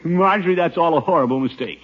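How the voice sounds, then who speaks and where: An actor playing a flustered, blustering middle-aged American uncle in 1950s radio comedy, stammering and indignant, with nervous chuckles. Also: male voice, fairly high pitch, fast, natural voice. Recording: tinny old 1950s radio recording.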